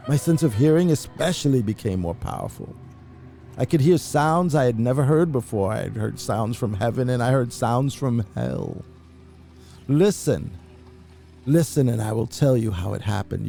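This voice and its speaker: Gravelly, dark-voiced man reading horror. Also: medium pitch. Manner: intense, brooding delivery with sudden hushed drops and rising outbursts. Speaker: reader. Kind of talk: dramatic reading of a classic horror story.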